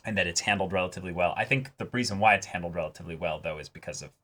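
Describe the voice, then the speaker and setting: A man's voice: sits low, rapid-fire, a bit bright. A man co-hosting a reaction show, chatting casually about a series and animatedly acting out imagined lines of dialogue. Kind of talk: casual anime review chat.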